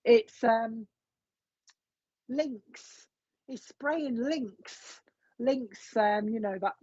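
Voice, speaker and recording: Chatty woman, husky. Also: mid-range voice, a little mellow, no room sound. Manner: chatty, relaying messages with frequent fillers and asides. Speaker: medium giving a reading. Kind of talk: spoken psychic reading. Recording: dull, dry lo-fi sound.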